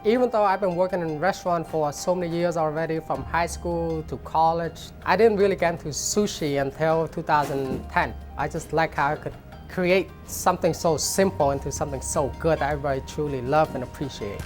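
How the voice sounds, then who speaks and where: Low-voiced woman chef from Cambodia. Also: brisk. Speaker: chef and restaurant owner. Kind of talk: interview to camera. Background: music.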